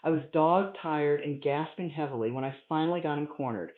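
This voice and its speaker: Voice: mid-range voice, a little fast. A person reading a humorous first-person story aloud as scripted narration. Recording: echoey room, thin phone-like sound.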